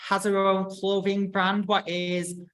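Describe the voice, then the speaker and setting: A woman's voice: mid-range voice, completely flat delivery, slow, low-quality recording. A woman, hosting a casual live interview in an informal, hesitant, rambling way. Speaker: host of a running channel.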